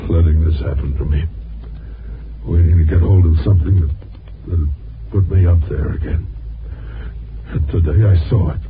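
Hard-boiled American reporter, male, deep voice, old radio drama on muffled tape with music. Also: a little hiss or hum. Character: ambitious hard-boiled newspaper reporter, later a bitter washed-up loser.

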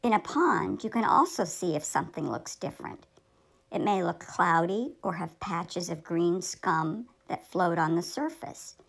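A woman's voice: lowish voice, lively intonation, unhurried, creaky, heavily acted, low-quality recording. A woman playing a knowledgeable fifth-grade girl who explains things earnestly in a scripted puppet show. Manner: explanatory, instructive.

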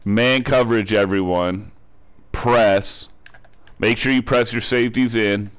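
A man's voice: lowish voice, steady tone, measured pace, clean tone. Stuffy-nosed man explaining game tactics. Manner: repetitive step-by-step instruction with frequent restarts. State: congested from a cold. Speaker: gaming tutorial creator. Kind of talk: casual game tutorial voice-over.

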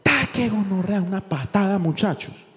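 A man's voice: high voice, normal speed, a bit bright. A man with a Medellín accent doing live comedy, telling slangy, profane anecdotes and acting out the dialogue. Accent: Colombian, from Medellín. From Medellín, Colombia. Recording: roomy live venue, faint background noise.

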